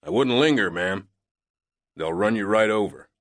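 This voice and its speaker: Glum gruff loadmaster guy, short clipped lines, low voice, narrator putting him on. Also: animated, moderate pace, dry recording, natural voice. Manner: terse, laconic.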